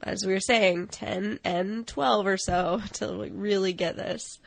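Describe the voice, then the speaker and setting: A woman's voice: mid-range voice, steady tone, takes it slowly, creaky, no echo. A woman co-hosting a film podcast, chatting in a loose, conversational storytelling way peppered with "like". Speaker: podcast co-host reviewing animated films. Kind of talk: casual chat.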